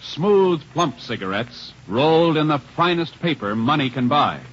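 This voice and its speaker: A man's voice: a little high, lively intonation, measured pace, fairly bright tone. An American man announcing a program and its sponsor in a formal, emphatic 1950s radio style. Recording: dull, noisy old radio recording.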